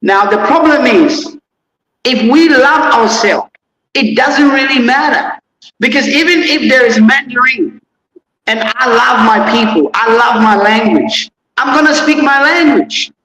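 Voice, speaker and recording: A man's voice: very high-pitched, lively intonation, measured pace, sharp tone. A man joining a livestream as a guest, speaking earnestly and repeating his points. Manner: repetitive, earnest, restarts often. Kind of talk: casual livestream discussion. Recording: echoey remote call from far off.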